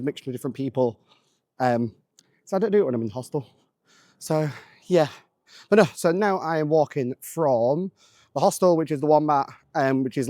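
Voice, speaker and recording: A man's voice: on the high side, darkish tone, no room sound, studio quality. A man from Manchester, a business founder chatting casually to camera in a rambling way, full of "um" and "like". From Manchester, England. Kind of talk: casual vlog chat.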